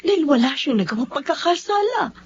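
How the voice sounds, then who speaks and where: Gentle emotive lady in a radio drama, really lively intonation, kinda lo-fi. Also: high-pitched, a little fast, slight background noise. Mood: gently pleading. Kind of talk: Filipino radio drama acting. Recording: dull lo-fi, faint music.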